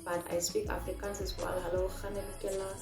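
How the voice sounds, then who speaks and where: A woman's voice: medium pitch, flat delivery, fast talker, reverberant, over music. A woman in her twenties from Namibia, answering viewer questions in a casual, chatty vlog and telling stories with many fillers. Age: 20s. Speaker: vlogger.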